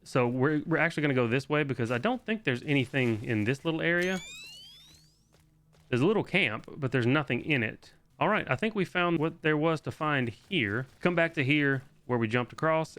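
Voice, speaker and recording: A man's voice: mid-range voice, normal speed, a bit bright, clear, resonant tone. A man hosting a video game guide, giving casual, informal walkthrough commentary with the odd swear word.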